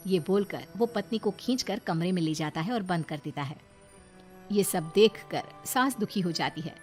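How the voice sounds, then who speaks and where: A woman's voice: on the low side, animated, normal speed, faint music behind. A woman reading scripted, dramatic narration for a moral story.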